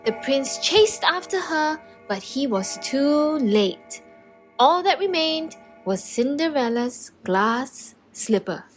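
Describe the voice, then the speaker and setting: A woman reads a fairytale aloud for children. Her voice is fairly high and animated, and she takes her time over each phrase in an expressive storytelling style. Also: slow, faint music behind. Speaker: storybook narrator.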